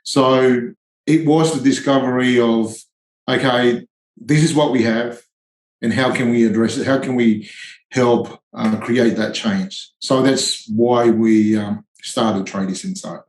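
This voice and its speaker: A man's voice: medium pitch, fairly even intonation, slow pace, reverberant, low-quality recording. A man in his forties from Tonga, a tradie and charity founder, speaking hesitantly in a podcast interview with many ums and restarts.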